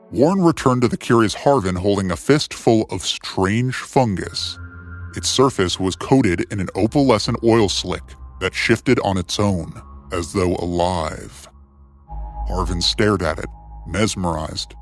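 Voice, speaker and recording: A man narrating a scripted adventure story in a dramatic storytelling style. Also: lowish voice. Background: soft music.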